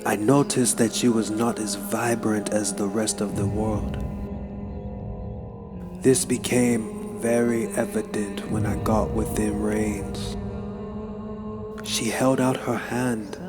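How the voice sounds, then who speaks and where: Slow-paced man reading horror over music. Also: lowish voice, fairly even intonation. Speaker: narrator. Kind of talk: dramatic horror story reading. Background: background music.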